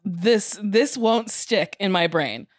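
A woman's voice: mid-range voice, animated, takes it slowly, no echo, clean studio sound. A woman with an American accent co-hosting a podcast in casual, slangy conversation. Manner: informal, slangy. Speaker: podcast co-host.

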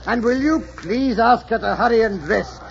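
An actor playing a pompous, impatient, fussy old English gentleman in a 1940s radio drama, with a haughty, blustering manner. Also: extremely high pitch, normal speed, vocal fry. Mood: indignant, fussy. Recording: muffled 1940s radio recording with crowd murmur.

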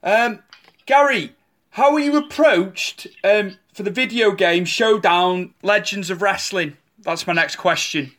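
A man hosts a casual interview, asking questions in a halting way that is full of fillers. His voice sits high for a man and has a bright, sharp edge. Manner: hesitant, filler-heavy questioning. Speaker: interview host.

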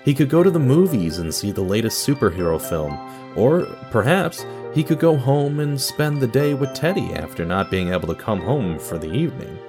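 A man's voice: mid-range voice, sing-song, moderate pace, music in the background. A man delivering scripted narration and announcements for an audio drama.

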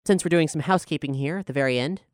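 A man with a fairly high, smooth, clean voice speaks as a podcast host making scripted announcements. The sound is very dry and close, with an easy-to-follow delivery. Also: very fast, no echo. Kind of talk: scripted announcement, promotional talk.

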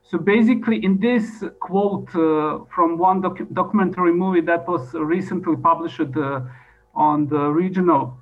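A man with a fairly high voice gives a formal presentation in English as a second language. His phrasing is halting and repetitive. The recording is dull and lo-fi. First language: not English. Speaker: presenter at an expert conference. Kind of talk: formal presentation, partly improvised. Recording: dull lo-fi audio.

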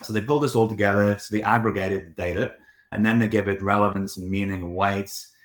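A man's voice: fairly low pitch, fairly even intonation, fast talker, roomy sound. A man, a design studio director, answering interview questions in a reflective, explanatory way.

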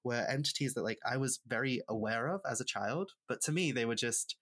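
A man's voice: medium pitch, expressive, fairly quick, fairly bright tone, slightly rough. Chatty Welsh man telling his story. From rural Wales. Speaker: podcast guest, author and witchcraft practitioner. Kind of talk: conversational interview storytelling.

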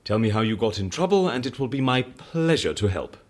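An actor playing a quirky male case handler at a disappearance agency in an audio drama, husky, with quaint exclamations and dry businesslike asides. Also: medium pitch, sing-song, fairly quick, lightly acted. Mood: curious, businesslike.

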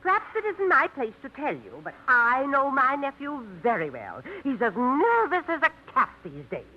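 An actress playing a fussy, prim middle-aged aunt in a 1950s radio drama, prying and fretting, on a dull old radio recording. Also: female voice, sits high, huge pitch swings, normal speed, pushed and bright, a little hiss or hum, full character voice.

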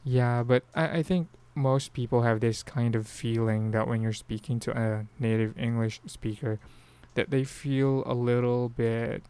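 A man's voice: mid-range voice, fairly even intonation, a little slow, mellow, smooth. A Filipino man with a Filipino accent, hosting a podcast in casual solo talk, rambling with frequent restarts and fillers. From Philippines. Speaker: podcast host.

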